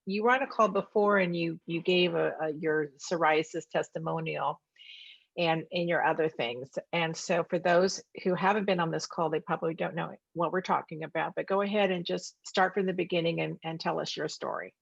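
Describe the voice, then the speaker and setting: A woman's voice: on the low side, steady tone, a little fast, creaky, breathy edge, no room sound, dull audio. A woman hosting a video call, prompting guests with encouraging questions. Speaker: host of a testimonial call. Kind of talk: casual video call interview.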